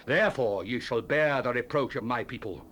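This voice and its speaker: An actor playing a solemn biblical prophet in a dramatized scripture recording, declaiming judgment and lament. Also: male voice, mid-range voice, animated, normal speed, a bit bright, natural voice. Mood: stern, denouncing. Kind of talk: dramatized scripture reading, 1970s audio drama. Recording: hissy 1970s cassette tape.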